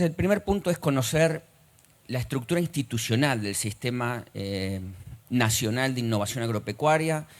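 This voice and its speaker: A middle-aged man with an Argentine accent, giving a formal conference talk, measured and filled with pauses and hesitations. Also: mid-range voice. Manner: measured, with frequent "eh" and "digamos" fillers. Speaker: conference speaker.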